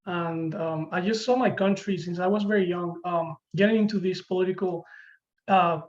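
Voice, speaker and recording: A young man with a Venezuelan accent and Spanish as his first language answers interview questions in a high-pitched voice. He speaks haltingly, repeating words as he searches for the next one. The audio is dull and lo-fi. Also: steady tone. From Venezuela, Caracas. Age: early 20s. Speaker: university student, interview guest.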